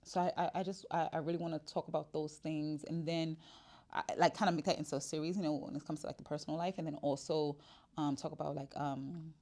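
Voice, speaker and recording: A woman's voice: deep voice, steady tone, fast, darkish tone. A woman, talking candidly and casually to the camera in her shop, with many fillers and restarts. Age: 40s. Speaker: vlogger. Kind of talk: casual personal vlog.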